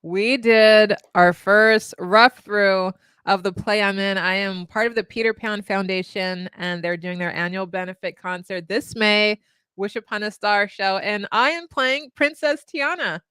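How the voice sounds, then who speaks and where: Bubbly co-host with a smooth voice. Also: female voice, medium pitch, steady tone, unhurried, fairly bright tone, dry recording. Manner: bubbly, enthusiastic. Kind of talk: live web show co-hosting. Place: home studio.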